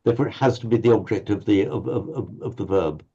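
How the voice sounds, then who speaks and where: A man's voice: on the low side, fast, warm tone, slightly rough. A man teaching a Pali class online, explaining patiently and often stumbling over repeated words. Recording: slightly muffled audio.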